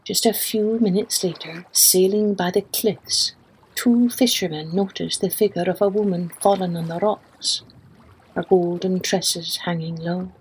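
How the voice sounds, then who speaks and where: A woman narrating a children's folk tale in a deliberate, expressive storyteller's manner. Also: lowish voice, husky, poor audio. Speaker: storyteller, podcast host.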